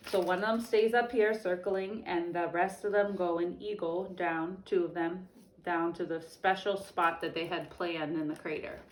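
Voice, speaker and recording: Young woman, teacher, picture-book read-aloud, expressive storytelling, casual asides, echoey room. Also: on the low side. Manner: expressive storybook reading broken up by chatty explanations. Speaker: teacher reading aloud to children.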